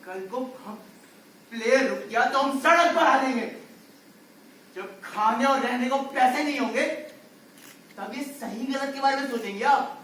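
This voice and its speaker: A man's voice: extremely high pitch, normal speed, pauses often, sharp tone. A man playing a desperate, bitter circus clown and father on stage, declaiming and firing off rhetorical questions. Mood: indignant, desperate. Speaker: stage actor. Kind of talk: amateur Hindi stage play acting. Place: indoor stage, recorded from the audience area. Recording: distant, very echoey hall, faint noise.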